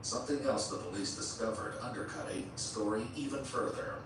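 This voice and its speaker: A man's voice: fairly low pitch, brisk, a bit bright, faint music behind. Distant, echoey male documentary narrator. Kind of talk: scripted narration. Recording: echoey, distant, noisy playback.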